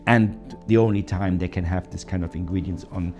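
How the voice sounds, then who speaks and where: A man's voice: low voice, steady tone, moderate pace, darkish tone, soft background music. A man with a German accent, a hotel managing director, telling his story in an interview with hesitant fillers. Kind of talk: documentary interview.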